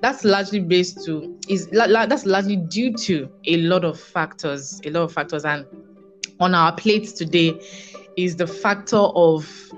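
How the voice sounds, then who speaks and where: A woman's voice: on the low side, measured pace. A woman with a Nigerian accent speaking as a podcast guest, conversational and emphatic, repeating phrases for stress. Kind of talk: casual conversational interview answers. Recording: dull lo-fi audio, music behind.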